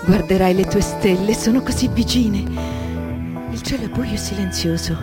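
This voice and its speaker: A woman playing a lonely, searching young girl in a poetic radio drama, speaking intimately in a husky voice. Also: medium pitch, normal speed, over loud music, lightly acted. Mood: dreamy. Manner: intimate, lyrical, questioning.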